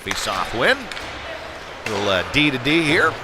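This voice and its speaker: A man with a mid-pitched voice calls a live hockey game from the rink. He talks casually, with hesitant, folksy asides mixed into the play-by-play. Clear venue noise runs behind his voice. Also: big pitch swings, a little slow, a crowd in the background. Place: ice rink, live stream broadcast.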